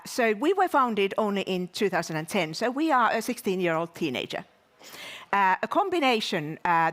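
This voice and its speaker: A woman speaking as a university provost, giving a welcome speech to an event audience with frequent pauses and repeated words. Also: medium pitch, fairly bright tone. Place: live event venue at a university.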